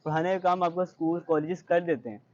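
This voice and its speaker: A man's voice: a little high, fairly even intonation, quick pace, darkish tone, lo-fi sound. A young man with a Pakistani accent answering interview questions conversationally, explaining and giving advice with English words mixed in. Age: young adult. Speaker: interview guest, university student.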